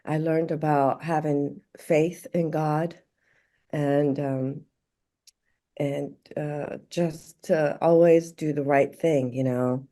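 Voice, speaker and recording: A woman from Morocco, a podcast guest, speaking warmly and reflectively, halting often with fillers and restarts. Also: sits low, fairly even intonation. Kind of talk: casual interview conversation.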